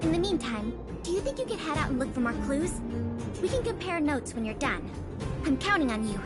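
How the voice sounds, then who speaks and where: Game role: earnest young woman detective, businesslike, high-pitched, loud music behind. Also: very animated, fairly quick. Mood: attentive, determined. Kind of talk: video game voice acting.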